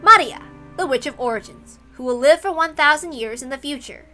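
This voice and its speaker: Bright, high-voiced woman reading aloud. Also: animated, fairly quick. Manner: chatty, informal between passages. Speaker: streamer reading a visual novel. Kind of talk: read-aloud narration with casual asides. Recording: music behind the voice.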